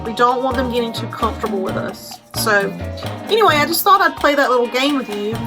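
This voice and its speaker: A woman's voice: fairly high pitch, a little fast, music in the background. An American woman hosting a storytime as a children's librarian, putting simple questions and explanations to young children.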